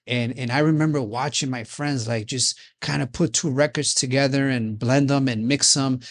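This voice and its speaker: A man from Chicago hosts an interview in a relaxed, rambling way. He keeps circling back and repeating himself as he talks, and his voice sits at an ordinary middle pitch. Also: moderate pace. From Chicago, United States. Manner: loose and repetitive, full of fillers and restarts. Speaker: podcast host. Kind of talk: rambling casual interview chat.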